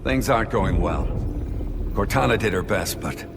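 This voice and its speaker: A man voicing a steady veteran starship captain in a game cutscene, giving orders in a measured, clipped way. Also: fairly low pitch, gravelly, noisy background. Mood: grave command. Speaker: ship captain. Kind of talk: video game cutscene acting.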